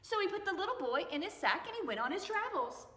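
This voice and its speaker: A woman's voice: very high voice, sing-song, fast, a bit bright. A woman hosting a children's storytime, reading aloud theatrically and stretching words for suspense. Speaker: library storytime host and storyteller. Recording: echoey reverberant room.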